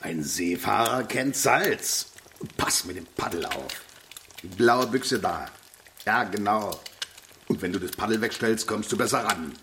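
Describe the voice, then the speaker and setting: A man playing a gruff, wry, overworked cook in a children's radio play, brusque and teasing. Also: fairly low pitch, expressive, a little slow, bright, forceful tone, slight background noise, heavily acted.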